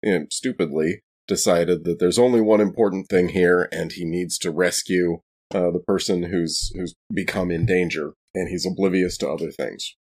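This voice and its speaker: A man's voice: low voice, steady tone, moderate pace, darkish tone. A man playing a tabletop role-playing game, casually explaining what his character does.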